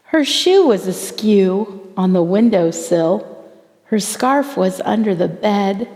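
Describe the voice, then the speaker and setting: Slow, expressive woman storyteller in reverberant hall. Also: medium pitch. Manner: theatrical, deliberate phrasing with drawn-out emphasis for children. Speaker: pastor reading a children's story aloud. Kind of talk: expressive storybook read-aloud in rhyming verse.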